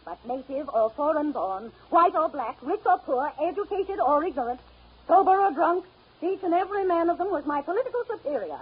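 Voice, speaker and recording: An actress playing an indignant women's suffrage campaigner with emphatic, formal oratory in 1930s radio drama style. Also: female voice, sits high, animated, brisk. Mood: indignant, oratorical. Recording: muffled, noisy 1930s radio recording.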